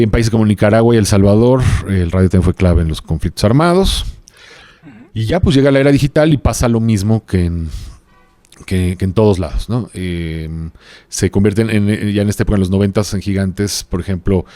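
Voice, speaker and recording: A man with a low voice chats as a podcast host. He speaks in a halting, thinking-aloud way, checking in with short tag questions. The sound is dry, with hardly any room in it. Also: dark tone, dry recording. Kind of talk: casual podcast conversation from notes.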